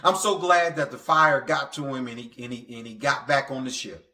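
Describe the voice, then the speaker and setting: A man preaching a motivational sermon in a halting, stammering way, repeating phrases for emphasis. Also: medium pitch, bright, forceful tone. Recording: echoey, reverberant room sound.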